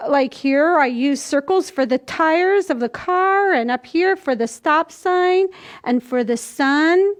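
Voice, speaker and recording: An older woman with a very high voice leads a storytime for small children. She speaks slowly and in simple steps, demonstrating as she goes. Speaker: children's library storytime host. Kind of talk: instructional talk to young children. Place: indoors, small plain room. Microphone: camera a few feet away.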